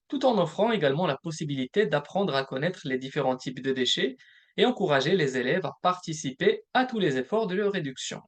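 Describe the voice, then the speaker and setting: A man presenting a recorded webinar as a program manager, explaining points one by one in a measured way. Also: on the high side. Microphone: recorded presentation voice-over.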